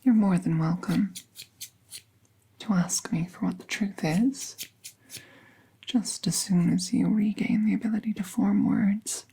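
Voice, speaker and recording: Woman playing a whispery, breathy, slow-talking fae healer. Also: medium pitch, mellow, heavily acted. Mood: gentle, wry. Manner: slow, soothing, formal wording. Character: gentle, playful fae woman veterinarian tending a wounded werewolf. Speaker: audio roleplay performer. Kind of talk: soft-spoken ASMR roleplay.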